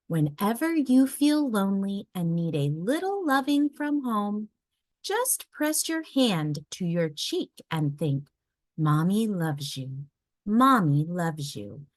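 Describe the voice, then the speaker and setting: A woman voicing a loving mother raccoon in a storybook, gentle, soothing and reassuring. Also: mid-range voice, very animated, takes it slowly, no echo. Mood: tender. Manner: gentle, soothing, teasing tenderness.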